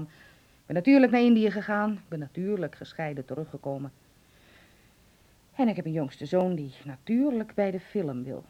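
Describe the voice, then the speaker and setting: A woman's voice: on the low side, lightly acted. An actress playing a stern, worried middle-aged mother in a Dutch radio play, with an emphatic, scolding manner. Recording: muffled radio drama recording.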